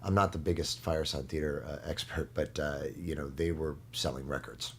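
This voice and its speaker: Very deep-voiced man in casual interview. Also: fairly even intonation, moderate pace, breathy edge. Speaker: guest, stage performer. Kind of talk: casual studio interview chat. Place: studio. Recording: noticeable background noise.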